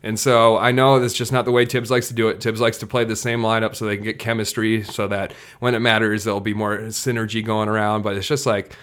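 A man's voice: on the low side, level tone, fast talker, few pauses, a bit bright, clean tone. A man co-hosting a sports podcast in a casual, opinionated, self-deprecating way. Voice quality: slight trouble saying hard NG sounds.

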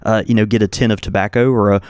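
A man's voice: on the low side, fairly even intonation, quick pace, darkish tone, smooth, dry recording, studio quality. A man co-hosting a casual chat, talking in an easygoing, agreeable way and laughing often. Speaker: tobacco shop co-host.

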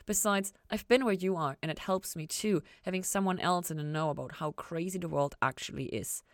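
A woman's voice: lowish voice, brisk, no room sound, studio quality, close to an everyday voice. A woman reading audiobook-style dialogue as a reassuring, frank therapist friend, confiding and emphatic.

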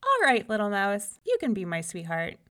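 A woman's voice: mid-range voice, wildly swooping pitch, moderate pace, fairly bright tone. Woman playing an earnest young storybook farm lad. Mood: accepting. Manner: polite, earnest. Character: polite, hopeful young farmer's son seeking a sweetheart. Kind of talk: children's storybook character voice.

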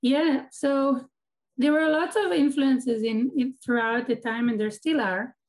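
A woman's voice: sits high, fairly even intonation, measured pace, darkish tone. Thoughtful Israeli woman, Hebrew native. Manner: thoughtful, restarts sentences, searching for words. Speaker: guest, academic and activist. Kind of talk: conversational interview answer.

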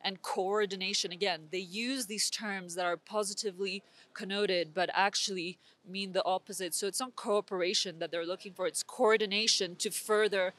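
A woman's voice: medium pitch, steady tone, normal speed, hardly any pauses, a bit bright. A young woman from Switzerland, speaking as an interview guest with intense, urgent political commentary and frequent restarts. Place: conference venue.

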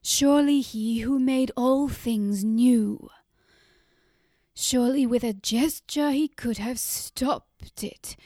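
A woman's voice: fairly high pitch, slow, mellow, dry recording, studio quality, pitched above the performer's own voice. A woman voicing a grieving mother whose voice shakes as she cries out in bitter anger. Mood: furious. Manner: shaking, shrieking, bitter. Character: grieving furious mother, a woman in middle age.

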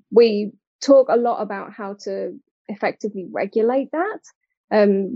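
A woman's voice: mid-range voice, gravelly, warm tone, no room sound, muffled recording. A British woman speaking as an interview guest, explaining things articulately in a conversational way. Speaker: interview guest, technology designer and advocate.

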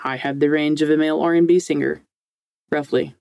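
A woman with a low-set voice talks as a podcast co-host, recorded close and dry. Her delivery is informal and chatty, with a joking, mildly sweary streak. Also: fairly even intonation, brisk, creaky, darkish tone, no room sound. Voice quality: deep, low-range voice for a woman. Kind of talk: casual, chatty podcast talk.

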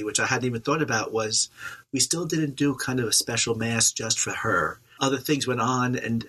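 Priest guy on a podcast, husky but bright voice, calm pastoral chat. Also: mid-range voice, low-quality recording. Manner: warm, reflective, pastoral. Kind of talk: casual conversation.

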